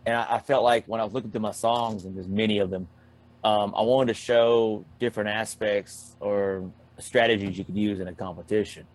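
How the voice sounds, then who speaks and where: A man with a low voice guests on a casual podcast. He speaks thoughtfully, often stopping on ums and restarting as he explains his approach. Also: fairly even intonation. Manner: thoughtful, halting with many ums. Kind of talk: casual chat.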